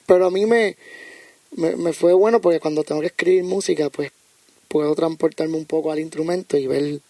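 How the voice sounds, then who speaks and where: A man tells stories from his life at an easy, conversational pace. His voice sits high for a man, with a gravelly, fried edge and a mellow, dark tone. He talks in a dry room and often circles back over his own words. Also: a little fast, no echo. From United States (Connecticut). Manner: storytelling, repeats words as he thinks. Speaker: guest, music producer. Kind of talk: casual interview chat.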